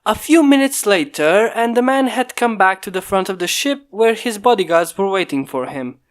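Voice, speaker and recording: An amateur reader narrates a fan-fiction chapter at an even storytelling pace, voice neither high nor low. The home microphone is failing and lets through occasional pops. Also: moderate pace. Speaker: fanfiction reader.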